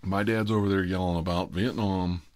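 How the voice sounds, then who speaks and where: Middle aged guy w a really low voice, rambling wry confessional storytelling, super dry sound. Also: steady tone, moderate pace, dry recording. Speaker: ASMR host telling personal stories.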